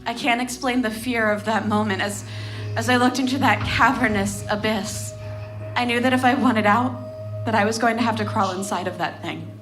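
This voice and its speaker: An actress playing a grave, defiant female survivor, recounting trauma in a dramatic, deliberate way for horror audio fiction. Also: a little high, a bit bright, close to an everyday voice. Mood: haunted. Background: music.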